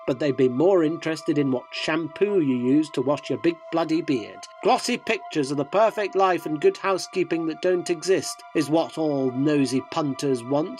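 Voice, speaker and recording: A man's voice: a little high, lightly acted. A man playing a cynical, self-loathing tabloid journalist in a radio drama, wry, wordy and theatrical. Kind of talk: radio drama narration and dialogue.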